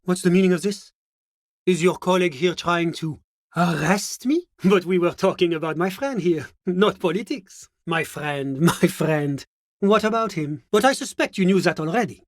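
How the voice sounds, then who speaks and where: An actor playing a suave, evasive government bureaucrat in a video game, with a French accent and a formal, polite, measured delivery. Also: male voice, sits high, no room sound, clean studio sound. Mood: coolly disapproving, then amiable, confiding. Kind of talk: scripted game character dialogue.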